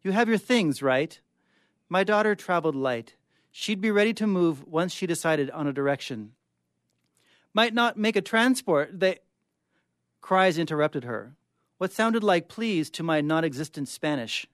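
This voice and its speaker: A man with a clear, smooth voice giving a dramatic reading of short stories as a guest reader. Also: a little high, expressive, unhurried, no echo, studio quality. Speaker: guest reader of short fiction on a radio show.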